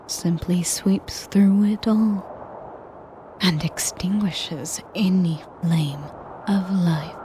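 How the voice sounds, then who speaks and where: Female performer as sadistic, possessive dragoness for audio roleplay: lowish, breathy half-whisper, extremely unhurried, imperious and condescending. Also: background noise. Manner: pompous, condescending. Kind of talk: scripted audio roleplay monologue.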